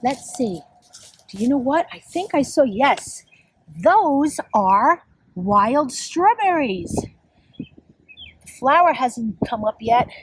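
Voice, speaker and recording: Sing-song, high-voiced woman teaching children outdoors. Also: big pitch swings, takes it slowly, pauses often. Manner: sing-song, simple phrases addressed to young children. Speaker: children's teacher leading a lesson. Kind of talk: child-directed outdoor lesson with read-aloud. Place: outdoors on a nature walk. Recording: clearly noisy outdoor recording.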